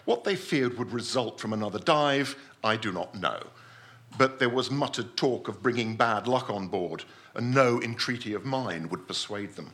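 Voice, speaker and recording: A man playing a pompous, self-assured gentleman scientist telling his adventure in a theatrical story reading. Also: mid-range voice. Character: pompous, obsessive Victorian-style gentleman scientist recounting his deep-sea adventure.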